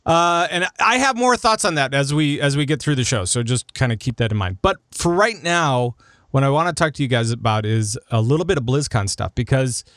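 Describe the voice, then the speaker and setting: A man's voice: mid-range voice, fairly bright tone, no room sound, studio quality. A man hosting a gaming podcast in a casual, joking, self-deprecating way. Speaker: podcast host.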